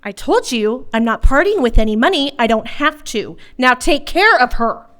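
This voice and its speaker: A woman's voice: sits high, lively intonation, moderate pace, a bit bright. A woman playing a ruthless, spoiled, hot-tempered rich daughter in a noir radio play, theatrical and contemptuous. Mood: cold, menacing.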